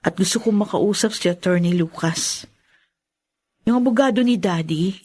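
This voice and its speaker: A woman's voice: medium pitch, moderate pace, darkish tone, slightly rough, close to an everyday voice. An actress playing a polite but determined grieving young woman in a radio drama. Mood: confiding. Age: young adult.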